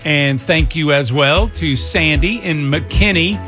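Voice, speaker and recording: A man's voice: a little high, takes it slowly, bright, forceful tone, faint music behind. Folksy man, radio host. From East Texas. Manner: folksy, chatty. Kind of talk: fundraising radio chat.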